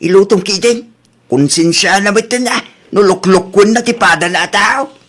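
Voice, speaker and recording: A man's voice: high-pitched, normal speed, fairly bright tone. A man playing an older, plain-spoken village husband with an Ilocano accent in a comedy audio drama. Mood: matter-of-fact.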